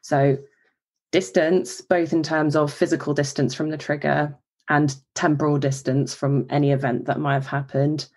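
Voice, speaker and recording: A woman dog behaviourist calmly explaining behaviour with examples in a podcast interview. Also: sits low, moderate pace. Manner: calm, explanatory, gives examples.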